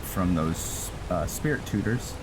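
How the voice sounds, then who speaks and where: Male gameplay host, very unhurried and deep, casual, halting as he thinks aloud. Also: animated, very noisy. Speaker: host of a game playthrough. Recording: music behind the voice. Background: game music.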